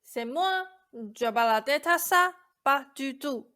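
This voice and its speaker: A woman's voice: high voice, lively intonation, slow, sharp tone, heavily acted. A woman acting as a sullen, skeptical young heroine in a fairy-tale radio play, dry, stubborn and guarded. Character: sullen, skeptical young Cinderella who challenges her fairy.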